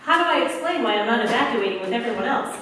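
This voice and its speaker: A woman's voice: a little high, expressive, fast, a bit bright. A woman playing an eager, questioning young female agent in a staged radio play. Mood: doubtful. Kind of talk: staged 1940s-style radio play acting. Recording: echoey hall from far off, faint noise.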